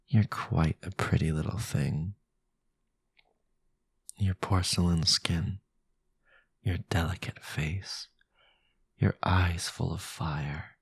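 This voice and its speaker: A man playing a sinister, possessive aristocratic vampire lord in an intimate ASMR roleplay, silky and menacing, with a breathy, gravelly voice that often drops to a whisper. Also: low voice, dry recording, heavily acted. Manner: silky, menacing, condescending, drawn-out.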